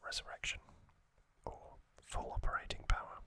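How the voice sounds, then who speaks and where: A man hosting an ASMR channel, whispering an informal, unhurried explainer in a hushed voice. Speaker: host of an ASMR trivia channel.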